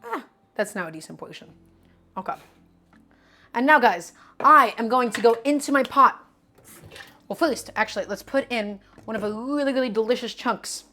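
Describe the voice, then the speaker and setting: A woman's voice: on the high side, expressive, a little slow, long pauses, sharp tone, soft background music. A young woman hosting a live cooking stream. She talks to her viewers in a chatty, upbeat way, often calling them "guys" and hyping them up. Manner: upbeat, hypes up the audience.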